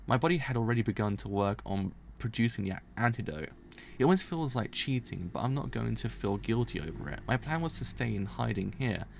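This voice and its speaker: A man's voice: lowish voice, vocal fry, slight background noise. A man voicing a cunning, disdainful beast fighter with venom, scheming and contemptuous, in a story reading. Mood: calculating. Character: cunning, disdainful poisonous beast fighter who trusts nobody.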